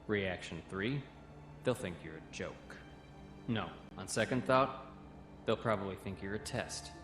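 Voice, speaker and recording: A man's voice: lowish voice, a little slow, close to an everyday voice. An actor playing a wary young male cadet who explains things in an audio comic drama. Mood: wry, explaining. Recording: roomy echo, music behind.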